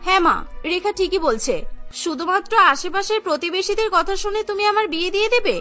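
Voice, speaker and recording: A young cartoon bride, played by a woman, headstrong and assured. She speaks assertively in a very high, bright voice over music. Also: brisk. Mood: defiant. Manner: assertive, explaining. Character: confident, cheerful young twin sisters, headstrong brides.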